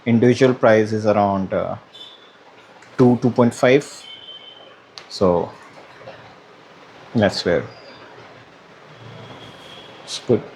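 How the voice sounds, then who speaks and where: A man's voice: on the low side, drawn-out words, pauses often, a little mellow, rough recording. A man giving a casual, hesitant unboxing commentary, thinking aloud as he goes. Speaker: vlogger unboxing a product. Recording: clear background noise, room ambience.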